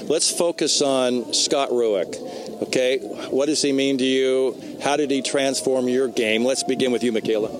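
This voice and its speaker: A man's voice: mid-range voice, steady tone, moderate pace, noisy background. A man hosting a sports podcast, asking athletes questions in a conversational way at an outdoor event stage. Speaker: podcast host. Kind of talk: interviewing athletes. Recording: loud music behind. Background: music in background.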